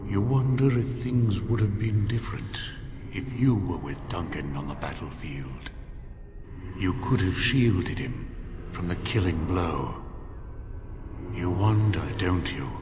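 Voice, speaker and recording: An actor voicing a solemn, ancient guardian spirit who speaks gravely and probingly in a fantasy game. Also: male voice, deep voice, lively intonation, slow, long pauses, heavily acted. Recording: long echoing hall, music behind.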